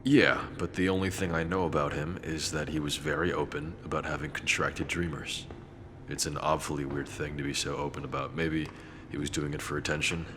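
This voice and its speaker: Man acting deep-voiced, brooding police detective. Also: level tone, a little fast, fairly bright tone, slight background noise. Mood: skeptical. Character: wary, brooding male police detective, curt and methodical. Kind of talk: audio drama acting.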